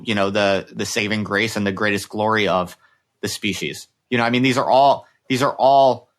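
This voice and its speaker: A man with a medium-pitched voice talks as the interviewer in a casual, intellectual conversation. He is tentative, circling back and restarting phrases while he forms his thought. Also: fairly bright tone. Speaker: podcast host, interviewer.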